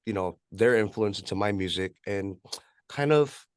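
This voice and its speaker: A man's voice: fairly low pitch, moderate pace, no echo, clean studio sound. A man with a West Coast American accent chatting casually as an interview guest, rambling in a laid-back way with plenty of "you know". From California, USA. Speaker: interview guest, rapper and music producer.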